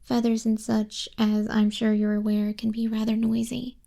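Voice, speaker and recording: A woman playing a stern but softening griffin flying instructor in a soft-spoken ASMR roleplay, haughty in manner, close on a binaural mic. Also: a little high, little pitch movement, normal speed, vocal fry. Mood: brisk, instructive. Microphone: close binaural microphone.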